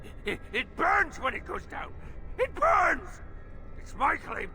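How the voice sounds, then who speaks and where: An actor playing a half-mad, frightened scavenger hermit in a video game, stammering, muttering and repeating himself in broken speech. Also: male voice, very high-pitched, wildly swooping pitch, slow, sharp tone, raised voice, a little hiss or hum, exaggerated voice. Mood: frantic, possessive. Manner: stammering, repetitive, muttering in broken third person. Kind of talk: video game character acting.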